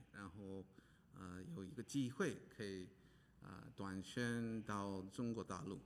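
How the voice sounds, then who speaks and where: A man from Hawaii speaking as a pastor, halting with many pauses and fillers while an interpreter follows him. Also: lowish voice, fairly bright tone, clear, resonant tone. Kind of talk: preaching with interpreter. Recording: long hall reverb.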